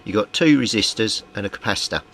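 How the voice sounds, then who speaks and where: Man, lowish pitch, methodical explaining, informal repair demo, slightly noisy home recording. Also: expressive, quick pace, a bit bright. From Britain. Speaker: radio repair hobbyist. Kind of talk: informal workbench demonstration. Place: small indoor home workbench.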